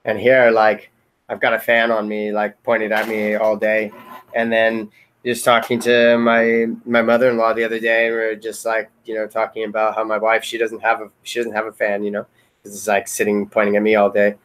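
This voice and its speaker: Low, even-toned man, hesitant and rambling. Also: normal speed. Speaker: software team member in a retrospective meeting. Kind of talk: casual remote meeting chat.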